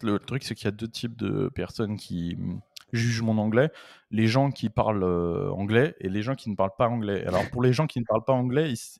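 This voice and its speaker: Low-voiced guest, rambling and blunt. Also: male voice, darkish tone, dry recording, studio quality. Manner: rambling, many fillers and restarts, blunt slang. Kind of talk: casual live-stream chat.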